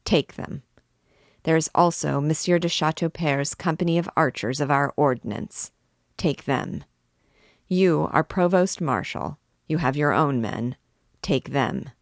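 Wrathful old monarch giving orders, voiced by a woman narrator, low and unhurried. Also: creaky, dry recording, studio quality. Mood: cold wrath. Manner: commanding, rising to shouted orders. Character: old fierce male king, wrathful and cunning.

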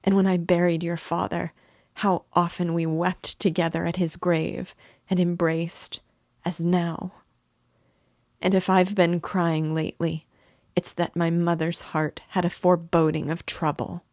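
A woman's voice: lowish voice, little pitch movement, unhurried, darkish tone, no echo, clean studio sound. A woman voicing an anxious, tearful middle-aged mother who pleads emotionally with her son. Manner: pleading, emotional, breaking into sobs. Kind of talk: audiobook character reading.

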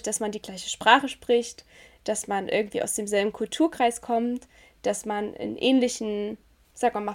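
A German woman in a reflective conversation, talking in a thoughtful, measured way and searching for words as she goes. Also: fairly high pitch.

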